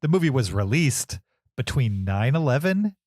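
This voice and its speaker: A man's voice: medium pitch, sing-song, normal speed, a little mellow, dry recording, clean studio sound. A man co-hosting a film podcast, explaining things casually in a hesitant, roundabout way.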